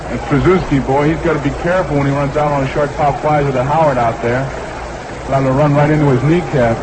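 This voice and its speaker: A man's voice: fairly high pitch, steady tone, quick pace. A man doing live 1960s radio baseball play-by-play in short, clipped phrases, repeating the count. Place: ballpark broadcast booth. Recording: noisy vintage 1960s radio broadcast.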